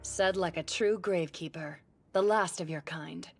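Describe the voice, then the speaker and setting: A woman's voice: on the low side, moderate pace, close to an everyday voice. An actress in an English anime dub playing a cold, poised woman assassin who speaks with mocking, threatening composure. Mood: cold threat. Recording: loud music behind the voice.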